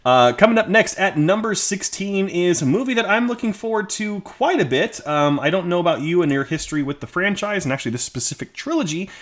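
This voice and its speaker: A man's voice: high-pitched, animated, brisk, few pauses, fairly bright tone. A man co-hosting a podcast, talking casually and informally about movies. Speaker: podcast co-host.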